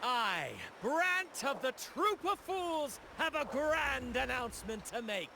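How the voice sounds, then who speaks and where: Flamboyant, high-pitched pirate showman. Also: big pitch swings, slow pace, vocal fry, background noise, heavily acted. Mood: grandiose. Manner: theatrical, declamatory showman delivery. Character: flamboyant young male pirate showman captain. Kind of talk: theatrical video game voice acting. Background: game background music.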